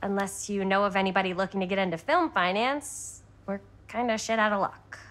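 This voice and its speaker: A woman's voice: mid-range voice, fairly quick, a bit bright, natural voice. An actress playing a brash, dramatic young American woman in a video game, theatrical, sarcastic and bossy in her slangy talk. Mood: frustrated. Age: young adult. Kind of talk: video game cutscene acting.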